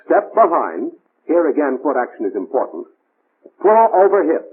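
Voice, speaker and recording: A man's voice: a little high, big pitch swings, moderate pace, a little hiss or hum. A man narrating a 1940s military training film in scripted, clipped, imperative instructions. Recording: tinny band-limited 1940s film soundtrack.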